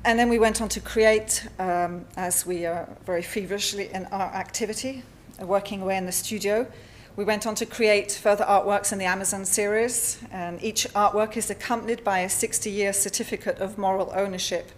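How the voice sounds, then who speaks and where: A woman with a Spanish accent giving a conference talk over slides, halting often with fillers and self-corrections. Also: medium pitch, fairly even intonation, a little hiss or hum. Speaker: artist presenting at a symposium. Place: lecture hall.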